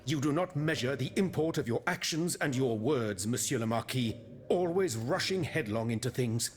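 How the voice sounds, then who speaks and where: A man's voice: mid-range voice, expressive, moderate pace, faint music behind, close to an everyday voice. A man playing a stern, cautious scientist of revolutionary France in a video game, formal and reproachful. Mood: reproachful, alarmed. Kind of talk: video game cutscene acting. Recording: faint background noise.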